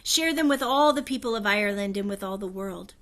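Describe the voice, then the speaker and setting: A woman voicing a regal fairy queen in a children's storybook, proud and solemn, with a high, clear, stately voice. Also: brisk, fairly bright tone, lightly acted. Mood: earnest appeal. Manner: stately, declamatory. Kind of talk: children's storybook read-aloud.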